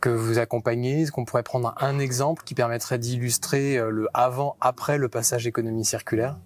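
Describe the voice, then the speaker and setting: A man with a French accent working as an interviewer, putting long questions in measured, hesitant phrases. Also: mid-range voice, steady tone. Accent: French (France).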